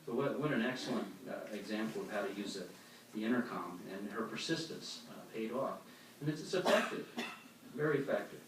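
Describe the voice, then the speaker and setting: A man's voice: lowish voice, lively intonation, fairly quick. Man speaking in a very echoey hall. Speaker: congregation speaker giving a demonstration. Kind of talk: instructional religious talk with read-out anecdotes. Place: echoey meeting hall before an audience. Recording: echoey hall, distant mic, faint noise.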